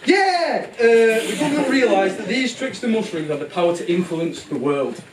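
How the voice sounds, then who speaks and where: Animated, high-voiced man as puppet show narrator. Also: moderate pace, a crowd in the background. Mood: excited, dramatic. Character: excitable, whimsical storyteller of mushroom history. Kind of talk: theatrical scripted narration. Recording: distant, echoey live show bootleg with music.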